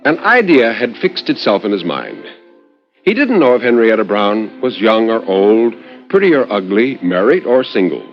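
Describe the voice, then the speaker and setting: A man's voice: on the low side, faint music behind. Man narrating expressively on dull 1950s radio. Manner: measured, expressive, lingering on phrases with dramatic pauses. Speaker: narrator reading a short story on a radio horror anthology.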